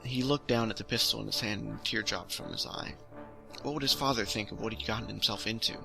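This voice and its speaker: Male amateur story narrator, lowish pitch with vocal fry, music bed underneath. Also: fairly even intonation, fast talker. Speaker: storytelling host. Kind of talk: reading own short science fiction story aloud.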